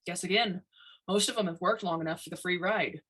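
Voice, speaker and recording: A woman voicing a plain-spoken older male supervisor, wry, steady and fatherly, in a storybook reading. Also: lowish voice, a little fast, breathy edge, poor audio. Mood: firm.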